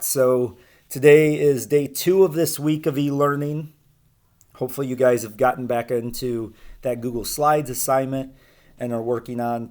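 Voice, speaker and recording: A man's voice: medium pitch, a little mellow. Man reading a picture book aloud. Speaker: schoolteacher reading aloud to students. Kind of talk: picture-book read-aloud and casual class talk.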